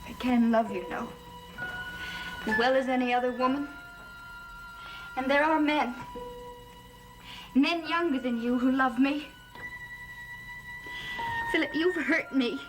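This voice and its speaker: A woman's voice: sits high, takes it slowly, long pauses, poor audio. An actress playing a wounded, lonely and anxious young woman in a gothic soap opera, with music behind her. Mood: hurt, vengeful. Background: dramatic music behind the voice.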